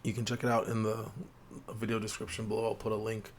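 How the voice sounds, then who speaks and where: Hoarse man, low gravelly voice, talking quietly. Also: completely flat delivery, fast talker, slight background noise. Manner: quiet, low-key delivery with frequent fillers. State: sore throat, voice a little hoarse. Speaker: host of a tabletop game channel. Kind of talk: casual explainer talk to viewers. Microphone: desk mic with gain turned up.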